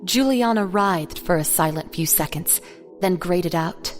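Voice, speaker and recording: A woman's voice: on the low side, measured pace, faint music behind. A woman reading scripted narration for an audio drama.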